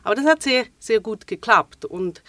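Swiss German-accented woman guest, middle-aged, relaxed chatty delivery, dry studio mic. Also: a little high, normal speed, no room sound. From Switzerland. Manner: relaxed, with frequent fillers and restarts. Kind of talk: casual interview conversation.

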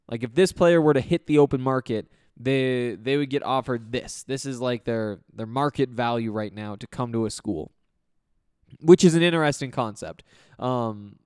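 Slightly hoarse man rambling on a podcast. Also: mid-range voice, a little mellow, no room sound, studio quality. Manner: rambling, full of fillers and restarts. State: slightly hoarse from losing his voice. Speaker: sports podcast host. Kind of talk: casual solo sports talk.

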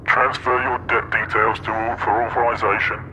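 Curt, sharp-voiced space gatekeeper, played by an actor. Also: mid-range voice, little pitch movement, moderate pace, a little hiss or hum, lightly acted, low-quality recording. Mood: curt, impersonal. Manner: clipped, impersonal, commanding. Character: curt officious automated library gatekeeper. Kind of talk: live sci-fi audio drama acting.

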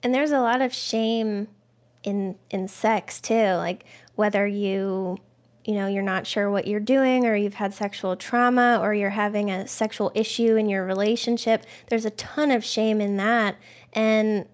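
A woman's voice: mid-range voice, steady tone, unhurried. Woman hosting a chat, open and easygoing, shares her own story. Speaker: interview host. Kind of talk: casual interview chat.

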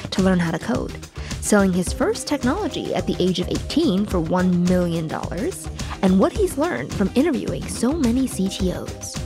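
A woman's voice: mid-range voice, sing-song, moderate pace, music in the background. A woman podcast host interviewing a guest in an engaged, conversational way. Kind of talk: conversational interview with scripted intro.

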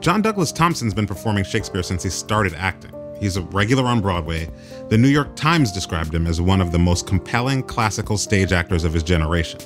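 Low-pitched male radio host, lively intonation. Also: a little fast. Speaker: radio documentary host and narrator. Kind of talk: scripted radio narration. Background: music.

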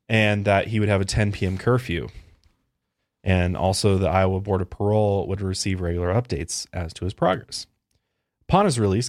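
A man with a fairly low voice reads through a true-crime case on a podcast. His delivery is relaxed, with fillers and quick asides. The studio mic sounds dry and close, with no room behind him. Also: no echo. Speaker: podcast co-host. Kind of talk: casual true-crime podcast chat, reading out case facts.

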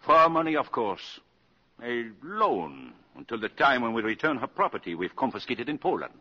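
Casting: irascible senior diplomat, raspy and forceful, swinging in pitch, muffled vintage radio sound. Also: male voice, very animated, moderate pace, heavily acted. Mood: impatient. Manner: blustering, indignant, emphatic. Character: irascible, imperious senior male German ambassador. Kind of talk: 1950s radio drama acting. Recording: muffled, reverberant 1950s radio broadcast.

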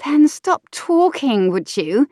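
A woman voicing an impudent young mistress in an audiobook, with a high, airy, pert voice. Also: big pitch swings, slow, mellow, no room sound, clean studio sound, exaggerated voice. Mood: sleepy. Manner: pert, teasing.

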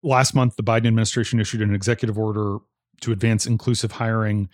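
A man's voice: fairly low pitch, flat delivery, fast, darkish tone, dry recording, clean studio sound. A man hosting an interview, putting prepared questions in an articulate, inquisitive manner. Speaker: interview host.

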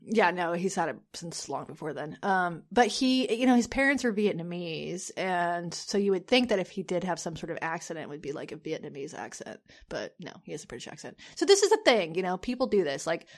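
A woman's voice: on the low side, sing-song, brisk, few pauses. A woman co-hosting a podcast in casual chat, rambling with many fillers and restarts. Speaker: podcast co-host.

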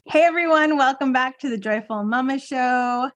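Chatty woman podcast co-host, fairly high voice. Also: moderate pace, music in the background. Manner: chatty, laughing often, with fillers and restarts. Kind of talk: casual podcast chat.

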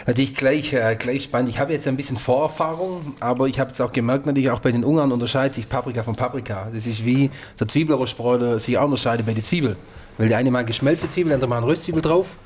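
A male cook co-hosting a podcast explains his plans eagerly in casual chat. He talks fast, with an ordinary mid-range voice and frequent fillers. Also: mid-range voice, a little mellow, slight background noise.

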